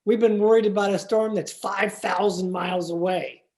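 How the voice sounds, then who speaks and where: A woman's voice: mid-range voice, fairly even intonation, normal speed, mellow, lightly acted. A woman playing an earnest, mild-mannered teacher in an old-time radio comedy. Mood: amused.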